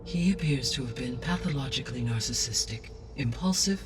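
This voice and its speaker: A man voicing a calm ancient artificial intelligence in a video game cutscene, speaking in a measured, precise, clinical way. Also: mid-range voice, lightly acted. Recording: game cutscene with music, distant.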